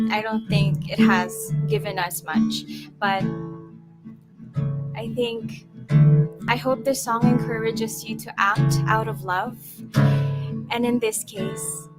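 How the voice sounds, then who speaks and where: A young Hiligaynon-speaking woman from the Western Visayas, a guest singer-songwriter, introducing her song in a relaxed, self-deprecating way with many fillers. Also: fairly high pitch, takes it slowly, long pauses. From Philippines, Western Visayas. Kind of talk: casual spoken introduction before a song. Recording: loud guitar music behind.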